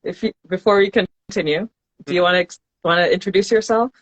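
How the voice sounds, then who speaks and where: A host from Western Canada leading a casual live podcast chat, chatty and quick to laugh. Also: mid-range voice, steady tone, dry recording, muffled recording. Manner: chatty, laughs often, reads out viewer comments.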